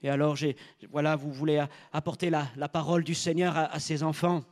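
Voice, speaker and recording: Halting male sermon interpreter, higher-pitched. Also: level tone. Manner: halting, rephrasing as he goes.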